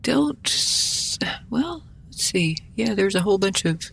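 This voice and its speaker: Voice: mid-range voice, lively intonation, slow, creaky, fairly bright tone. A gameplay host giving casual, rambling commentary, muttering and thinking aloud in a self-deprecating way. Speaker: gaming video host.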